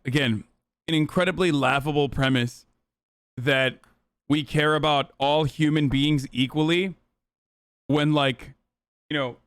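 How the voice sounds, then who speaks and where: A man's voice: on the high side, fairly even intonation, unhurried, long pauses, fairly bright tone, clear, resonant tone, dry recording. A man streaming political commentary, reacting to clips bluntly and profanely with many fillers.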